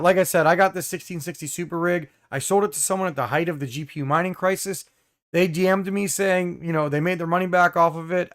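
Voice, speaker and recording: A hoarse young man hosting a casual live stream, reading viewer comments with many fillers and throat clearing. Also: on the high side, fairly bright tone.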